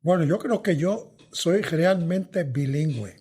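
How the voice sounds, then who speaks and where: An older man, his voice a touch husky and pitched a little high for a man. He talks things through slowly and thoughtfully, with pauses, and his sentences often fade away quietly at the end. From New York. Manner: thoughtful, hesitant, trails off softly. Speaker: veteran journalist guest. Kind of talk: reflective interview answers.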